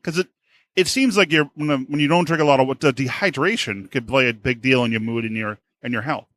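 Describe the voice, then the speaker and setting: A man hosting a casual podcast interview, haltingly searching for his words. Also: medium pitch, fairly bright tone. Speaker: podcast host.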